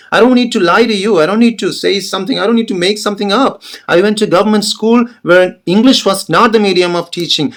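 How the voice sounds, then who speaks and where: A young adult man whose first language is Malayalam, giving an earnest, insistent motivational talk to English learners, repeating his points and pushing up his voice for emphasis. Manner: earnest, insistent and repetitive, rising in pitch when stressing points. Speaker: English teacher.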